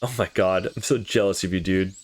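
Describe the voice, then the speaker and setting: A man reading visual novel dialogue aloud in a casual, expressive way, as a let's play host. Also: low voice, fairly quick.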